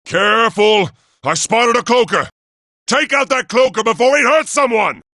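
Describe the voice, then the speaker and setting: A noble robot commander from a video game. The voice sits high and swings widely, with a forceful edge. Every phrase is declaimed formally and with solemn grandeur. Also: male voice, expressive, moderate pace, no room sound, studio quality, exaggerated voice. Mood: urgent warning. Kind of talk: theatrical video game voice acting.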